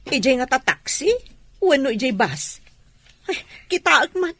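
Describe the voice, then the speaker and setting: An actress with an Ilocano accent playing a fussy, exasperated aunt home from abroad in a comedy drama. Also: female voice, sits high, very animated, a little slow, fairly bright tone, no echo, full character voice. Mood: indignant.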